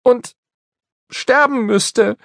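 A man's voice: very high-pitched, drawn-out words, vocal fry, no room sound, studio quality, pitched above the performer's own voice, heavily acted. A man voicing an unhappy young country boy in a storybook reading, talking earnestly and near tears. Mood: upset. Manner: earnest, upset and tearful. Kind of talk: storybook character voice.

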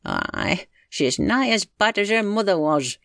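A woman voicing a gruff, impatient old village husband with a rural English dialect in a story reading. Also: medium pitch, animated, unhurried, fairly bright tone, no room sound, clean studio sound, voice pushed up. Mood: grumbling. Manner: grumbling, bawling, rustic.

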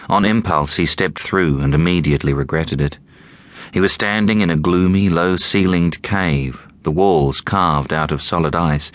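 A man narrating an audiobook in a measured, deliberate storytelling manner. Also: extremely low pitch, a bit bright.

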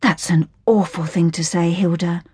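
A woman voicing a meek, pious young orphan girl who speaks softly and gently. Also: fairly low pitch, steady tone, moderate pace, slightly rough, no room sound. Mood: gentle. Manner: soft and gentle.

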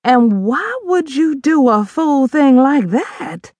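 A woman's voice: sits high, animated, takes it slowly, darkish tone, no room sound, voice pushed up. Brief: a woman playing a no-nonsense older mom, brassy and plain-spoken, scolding, dry close sound. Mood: incredulous. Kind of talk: audiobook character voice.

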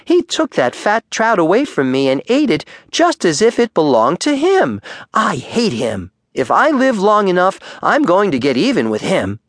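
A man's voice: sits high, wildly swooping pitch, normal speed, dry recording, clean studio sound, voice pushed up. A man puts on a fierce little storybook otter with a raised, swooping voice. The small male creature is short-tempered and complains with indignant emphasis. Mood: furious, indignant.

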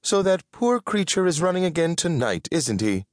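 A man voicing a prim, fussy and excitable woman with a proper, exclamatory way of scolding, as in an audiobook. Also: fairly high pitch, moderate pace, no room sound, studio quality, pitched above the performer's own voice. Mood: dismayed.

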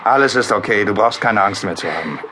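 A man's voice: on the low side, fast talker, noisy background, close to an everyday voice. An actor playing a loyal, brave male friend in a radio play, blunt and reassuring.

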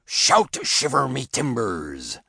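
A man voicing a gruff, bullying pirate rabbit captain in an audiobook story, barking orders in pirate slang. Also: mid-range voice, sing-song, slow pace, sharp tone, no room sound, full character voice. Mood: commanding.

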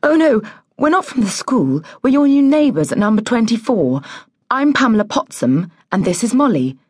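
A woman's voice: fairly high pitch, very animated, normal speed, husky, no room sound, studio quality, voice pushed up. A woman voicing a polite, nervously friendly mother in a children's story, anxious to please.

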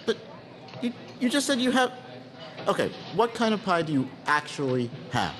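A man's voice: sits high, sing-song, moderate pace, fairly bright tone, slight background noise. A man playing a chatty, inquisitive diner talking with friends in a conversational radio play. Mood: exasperated. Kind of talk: full-cast radio theatre, conversational.

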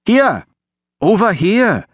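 Guy doing an excitable teen street kid, pitched way up, pushy and flattering, audiobook character. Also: slow pace, slightly rough, no room sound, exaggerated voice. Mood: excited, calling out. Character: eager, wily adolescent street boy, servile and enthusiastic.

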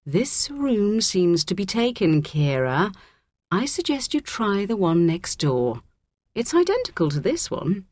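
Woman playing a calm, firm head teacher, animated. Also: medium pitch, unhurried, no room sound, voice pushed up. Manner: calm, authoritative.

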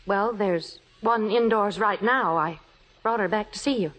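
A woman's voice: medium pitch, unhurried, fairly bright tone, natural voice. A woman playing a playwright's loyal, earnest wife in a vintage radio drama, refined and emphatic. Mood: coaxing. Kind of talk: 1950s radio drama acting.

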